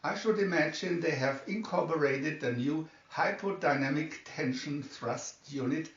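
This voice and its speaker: A man voicing a calm, fatherly middle-aged man in an adventure storybook, speaking in a measured, reasonable way. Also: fairly high pitch. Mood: calm, interested. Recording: roomy, distant, slightly dull home recording.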